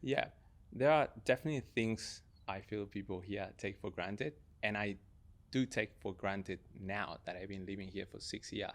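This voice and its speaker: A man's voice: lowish voice, moderate pace. A young man from Argentina with an Argentine Spanish accent, talking reflectively as a podcast guest. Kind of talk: casual, reflective personal conversation.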